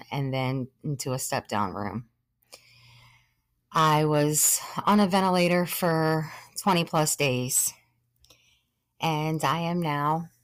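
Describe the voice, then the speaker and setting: A woman in her thirties, a podcast host sharing her own story in an earnest, measured way, sometimes short of breath and pausing. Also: extremely low pitch, dry recording, studio quality. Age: 30s. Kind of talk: personal testimony monologue.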